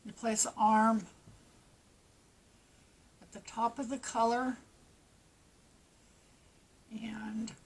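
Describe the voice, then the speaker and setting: A woman's voice: fairly high pitch, little pitch movement, very slow, long pauses, breathy edge. A woman with a stuffy nose and a fading voice, giving plain, practical step-by-step crochet instructions. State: stuffy nose, losing her voice. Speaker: crochet teacher. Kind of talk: step-by-step craft tutorial.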